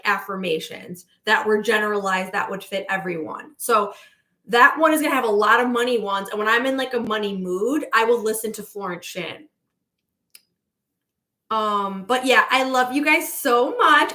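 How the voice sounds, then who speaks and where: Woman, manifestation coach, casual livestream chat, effusive, bright tone, somewhat high pitch. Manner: effusive, repeating "okay?" and reading out viewer comments.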